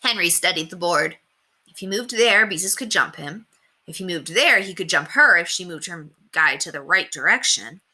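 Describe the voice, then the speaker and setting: Bright-voiced, expressive woman reading a story. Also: fairly low pitch, normal speed, husky. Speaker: read-aloud narrator. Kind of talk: scripted children's story narration.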